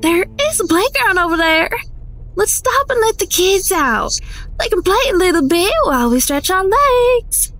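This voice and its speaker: A woman's voice: extremely high pitch, very animated, moderate pace, a bit bright, exaggerated voice. A woman in an audio drama playing a breezy, teasing young family's mother. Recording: faint ambient noise.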